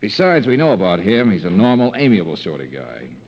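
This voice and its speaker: An actor playing a wisecracking, smooth-talking private investigator in a 1950s-style radio detective drama. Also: male voice, on the low side, very animated, fairly quick. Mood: breezy, wry. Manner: smooth-talking, casual, teasing. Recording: dull, noisy old-time radio recording.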